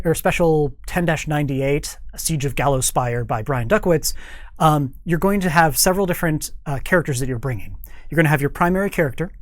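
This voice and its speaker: A man's voice: on the high side, no echo. A man speaking as a guest developer in a studio interview, explaining details informally and acting out imagined players' remarks.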